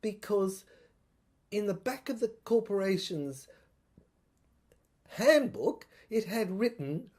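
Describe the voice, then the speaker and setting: A woman playing a troubled soldier in an audio drama monologue, thinking aloud in a halting, stammering, husky voice. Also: mid-range voice, expressive, darkish tone. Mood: puzzled discovery. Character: troubled soldier piecing together a dead professor's secret diary.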